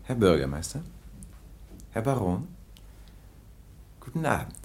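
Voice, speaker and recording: An actor playing a haughty, decisive English lord in a German radio drama, speaking in a grand, formal, theatrical manner. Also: male voice, on the low side, very animated, measured pace, darkish tone. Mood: coldly dismissive.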